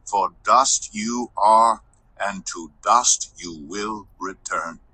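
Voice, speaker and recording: A man reading scripture aloud in a measured, formal delivery. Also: lowish voice, sharp tone, slightly rough, dry recording, rough recording.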